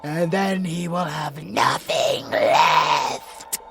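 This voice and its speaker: Very slow, gravelly British monster villain. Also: male voice, fairly high pitch, soft background music, heavily acted. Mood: cruel gloating. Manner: theatrical and taunting, swinging from slow hushed purrs to shouts. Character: sadistic male monster villain, gloating, menacing, hungry for prey. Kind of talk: villain ASMR audio roleplay acting.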